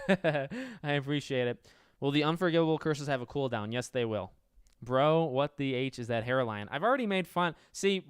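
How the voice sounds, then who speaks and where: A man hosting a casual livestream Q&A, reading out viewer questions and answering with a raspy voice and a rambling, self-correcting manner. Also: mid-range voice, no room sound, clean studio sound.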